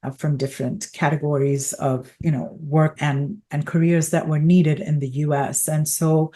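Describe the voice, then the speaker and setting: Podcast host, woman, middle-aged, from Pakistan; low-pitched, measured, explanatory, lots of "you know". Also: flat delivery, dark tone. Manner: thoughtful, explains with many fillers and restarts. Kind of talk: conversational interview.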